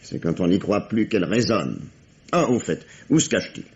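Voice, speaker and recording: Deep-voiced, sardonic police detective. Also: male voice, big pitch swings, brisk, darkish tone. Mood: dry, knowing. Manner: sardonic, teasing, condescending. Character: shrewd, sardonic police detective interrogating a suspect. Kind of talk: 1950s French radio mystery acting. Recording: dull, vintage radio drama sound.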